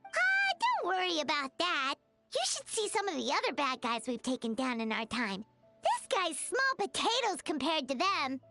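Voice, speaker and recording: A woman voicing a tiny, childlike fairy companion in an animated video game, bubbly, bossy and excitable, with a squeaky voice. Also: normal speed, vocal fry, soft background music, full character voice. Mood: confident, cheery. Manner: excitable, exclaiming, chatty.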